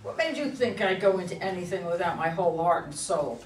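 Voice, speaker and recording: A woman's voice: lowish voice, animated, a little fast, slight background noise, close to an everyday voice. A woman playing a proud, combative older female politician in a play reading, with an indignant, emphatic delivery. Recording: echoey room, far from mic.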